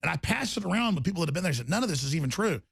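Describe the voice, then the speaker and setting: A man hosting a talk radio show, raspy and torn-up in tone, rambling emphatically with loud bursts and low mutters. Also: big pitch swings, racing, no room sound, clean studio sound. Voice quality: raspy, gravelly voice. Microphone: studio microphone.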